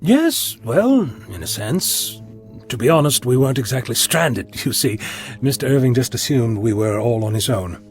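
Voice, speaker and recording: An actor playing an eccentric, polite alien time traveller in an audio drama, babbling eagerly and insistently. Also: male voice, mid-range voice, very animated, moderate pace, soft background music, natural voice. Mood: awkward.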